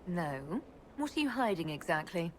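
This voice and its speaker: Low, wry fantasy heroine. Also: female voice, sing-song, normal speed. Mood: teasing. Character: cool, wry adventuring heroine, probing and composed. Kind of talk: fantasy game dialogue acting. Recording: reverberant hall with faint ambient noise.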